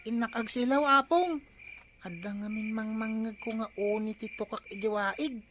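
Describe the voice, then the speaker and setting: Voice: medium pitch, normal speed, close to an everyday voice. A woman playing a cheeky, inquisitive grandchild in an Ilocano comedy radio drama. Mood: eager. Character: nosy, cheeky young grandchild of the village captain. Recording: faint background noise.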